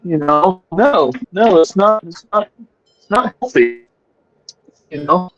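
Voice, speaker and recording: A man chatting casually as a talk podcast guest, opinionated and crude, often halting as he speaks. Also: high voice, big pitch swings, dull audio. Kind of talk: casual chat.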